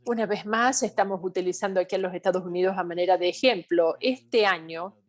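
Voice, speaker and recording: A woman simultaneously interpreting a health training webinar, speaking in halting phrases with pauses and self-corrections. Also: on the low side. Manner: halting delivery with pauses and restarts.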